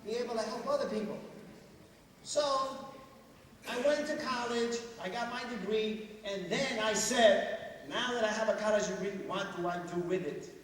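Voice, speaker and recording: A man giving an animated motivational speech to students, asking the crowd many questions. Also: sits high, moderate pace, far from the mic, slight background noise. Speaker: guest speaker at a school assembly. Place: large school hall. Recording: echoey hall, mic heard from afar.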